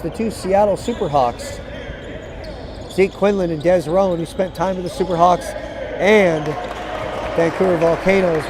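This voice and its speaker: A hoarse man with a raspy voice doing live, partisan color commentary for a basketball game. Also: high-pitched, noisy background. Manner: partisan, cheers on one team with "we". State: hoarse, tired voice.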